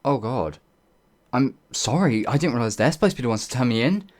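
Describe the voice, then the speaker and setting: A man's voice: mid-range voice, expressive, fairly quick, a little mellow, lightly acted. A man playing a timid, apologetic werewolf farmhand in an audio roleplay, hesitant and rambling, stammering as he goes. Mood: panicked, apologetic. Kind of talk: ASMR audio roleplay monologue.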